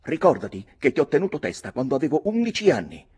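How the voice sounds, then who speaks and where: A man reading an audiobook, voicing a proud, hot-headed fifteen-year-old boy shouting defiance. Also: mid-range voice, animated, measured pace, slightly rough, voice pushed up. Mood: defiant.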